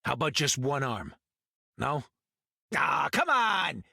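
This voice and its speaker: A gruff little raccoon hustler in a video game. The voice is gravelly and sharp, with cheeky, streetwise delivery that jumps around in pitch. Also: male voice, sing-song, slow pace, no echo, clean studio sound, heavily acted. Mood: wheedling. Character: wisecracking, greedy, gruff small male raccoon creature. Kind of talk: video game character dialogue.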